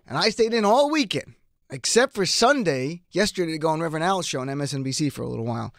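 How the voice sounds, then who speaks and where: A male radio host talks through his political show in a chatty, self-deprecating way. His voice is hoarse from a cold but still sits fairly high. He is close on a dry studio mic. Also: lively intonation, fast talker, a bit bright, dry recording. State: hoarse, getting over a cold. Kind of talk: political talk radio monologue. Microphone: studio microphone.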